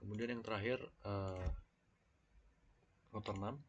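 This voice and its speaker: A young man with an Indonesian accent and a cold, walking through a screen-recorded tutorial in a somewhat low voice. He talks haltingly, thinking aloud between fillers. The lo-fi mic sounds dull, with faint noise and keyboard clicks behind him. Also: fairly even intonation. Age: young adult. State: sick with a cold. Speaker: student.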